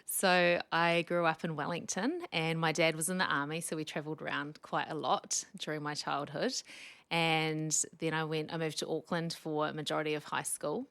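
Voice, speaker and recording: A woman in her forties with a New Zealand accent, a chatty, animated storyteller speaking as a podcast guest. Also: low-pitched, moderate pace, creaky, no room sound. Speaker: podcast guest, speech pathologist. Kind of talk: casual interview chat.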